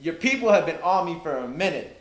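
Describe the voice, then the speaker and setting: A man's voice: a little high, expressive, a little fast. A man hosting a casual hip-hop interview in a recording studio, talking with hype and enthusiasm. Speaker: interview host. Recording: echoey studio room.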